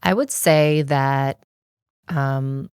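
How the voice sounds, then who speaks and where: A woman's voice: a bass voice, very slow, darkish tone, clear, resonant tone, no room sound, clean studio sound. A middle-aged American woman speaking as an interview guest, reflecting on personal experience in an earnest, articulate, explanatory way. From United States.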